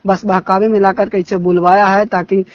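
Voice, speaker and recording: A man's voice: sits high, level tone, moderate pace, no echo. A young man with a Bihari accent talking politics informally on a recorded phone call, indignant and emphatic, often repeating his phrases. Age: young adult. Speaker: phone caller discussing local politics.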